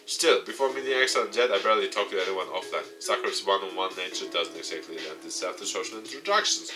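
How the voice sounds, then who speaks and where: A man's voice: sits low, quick pace, bright, forceful tone. A man reading a visual novel's narration aloud as a game playthrough. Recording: game music behind the voice. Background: game music.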